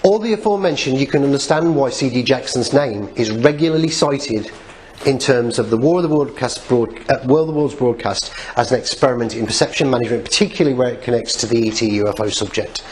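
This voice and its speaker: A man's voice: medium pitch, quick pace, a little mellow, low-quality recording. A man giving an informal public lecture, speaking in an opinionated way with rhetorical questions. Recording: noticeable background noise, live talk recording.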